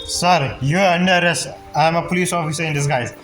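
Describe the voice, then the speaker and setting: A woman's voice: low-pitched, fast, soft background music. A woman doing casual, playful, blunt playthrough commentary as a game streamer, in short interjections. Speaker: game streamer reacting to dialogue.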